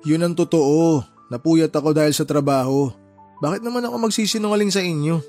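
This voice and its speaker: A man's voice: fairly high pitch, a little fast, darkish tone, soft background music, lightly acted. An actor playing a groggy, defensive younger brother around thirty, exasperated with his sister in a radio drama.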